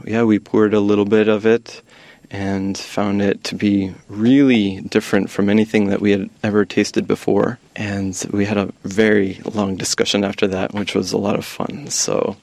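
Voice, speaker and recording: A young man from the United States speaks in a low, friendly voice. He explains things at an easy pace and laughs often, recorded dry and close to the mic. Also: little pitch movement, moderate pace, creaky, darkish tone, dry recording. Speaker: guest, graduate student. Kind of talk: casual podcast chat.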